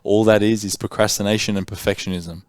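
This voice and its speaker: A man's voice: lowish voice, steady tone, fast, dry recording, studio quality. A man in his thirties hosting a podcast, talking bluntly and emphatically with a lot of swearing. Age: 30s. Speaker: podcast host. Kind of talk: candid conversation.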